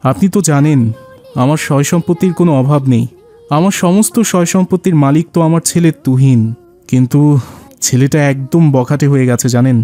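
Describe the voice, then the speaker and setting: A man's voice: on the high side, warm tone, close to an everyday voice. A man playing a worried, plaintive wealthy father in an Islamic cartoon. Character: worried, complaining wealthy father of a wayward son.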